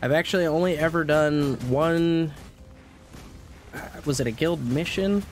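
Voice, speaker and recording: A man's voice: fairly high pitch, a little slow, a little hiss or hum. Sniffly man chatting over gameplay. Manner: chatty, rambling, talks to chat. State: sniffly with a cold. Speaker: streamer. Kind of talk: casual gameplay commentary. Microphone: desk mic.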